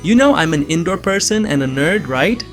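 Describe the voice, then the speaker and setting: A man acting an earnest young fugitive in a suspense visual novel, telling his own story. His voice sits fairly high and moves with lively pitch, carefully spoken and polite, with music playing behind it. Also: normal speed, lightly acted. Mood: earnest, relieved. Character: framed young man on the run, nerdy, anxious, polite. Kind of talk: first-person scripted narration of suspense fiction.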